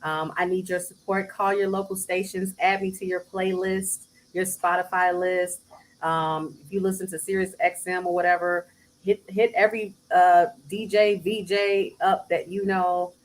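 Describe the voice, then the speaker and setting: A woman who sings, talking casually as an interview guest, soft-spoken with bursts of laughter. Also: flat delivery, normal speed, poor audio. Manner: soft-spoken, breaks into laughter and high mimicry. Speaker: singer guest.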